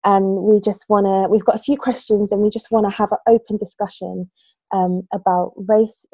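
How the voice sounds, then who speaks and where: A woman in her thirties, a youth worker hosting a panel discussion on a video call, gentle and full of ums. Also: medium pitch, vocal fry, husky, no room sound, highs cut off. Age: 30s. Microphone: laptop webcam on a video call.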